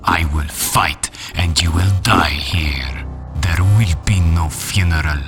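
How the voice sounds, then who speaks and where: Casting: vengeful game-villain dictator, low register, drawn-out grandiose menace. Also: male voice, over music, heavily acted. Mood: menacing fury. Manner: slow, threatening, grandiose. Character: defiant, menacing male Libyan dictator, proud and vengeful. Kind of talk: dramatic video game villain speech.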